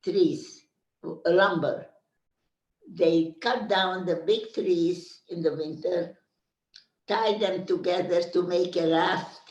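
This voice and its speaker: Slow older woman. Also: on the low side, darkish tone, recorded from a distance, dull audio. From Carpathian Ruthenia. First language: Hungarian. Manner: slow, searching for words with many pauses. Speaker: interviewee. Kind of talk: oral history interview. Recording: echoey room, speaker off mic.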